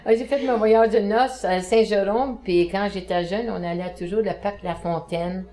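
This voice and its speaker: A woman's voice: mid-range voice, fairly even intonation, normal speed, dark tone. An older woman with a Quebec French accent, chatting and reminiscing in a group interview. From Montreal, Quebec. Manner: chatty storytelling, laughs between lines. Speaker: interview participant.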